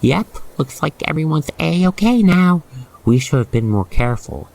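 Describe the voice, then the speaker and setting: A man with a creaky voice reading a scripted second-person ASMR roleplay story, with gentle exclamations. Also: a little high, big pitch swings, normal speed, slight background noise, rough recording. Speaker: roleplay narrator.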